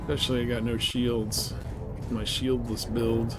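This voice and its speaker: Male game streamer, average pitch, informal slang-heavy live commentary, music playing underneath. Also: level tone, unhurried. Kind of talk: casual live gaming commentary.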